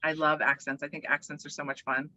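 A woman from Hawaii speaking casually and emphatically as an interview guest, chatty and animated. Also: deep voice, fast, no echo, highs cut off. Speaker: interview guest, organizational development worker and voiceover artist.

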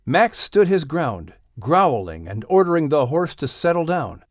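Slow, expressive male storyteller. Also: on the high side, dry recording, studio quality. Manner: dramatic, expressive. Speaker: audiobook narrator. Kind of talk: scripted story narration.

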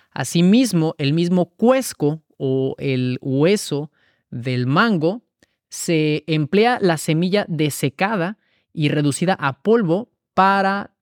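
A man's voice: on the high side, no room sound. Man narrating a health podcast, dry sound. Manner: measured, explanatory, listing items one by one. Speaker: podcast host.

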